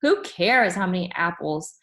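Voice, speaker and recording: A woman's voice: lowish voice, big pitch swings, a little slow, fairly bright tone, exaggerated voice. A woman voicing a grumpy, dismissive young schoolboy in a storybook, complaining bluntly.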